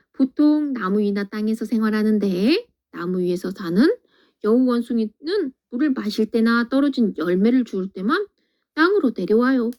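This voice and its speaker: Teacher lady reading a picture book to little kids, gentle and sing-song, mellow tone, kinda high.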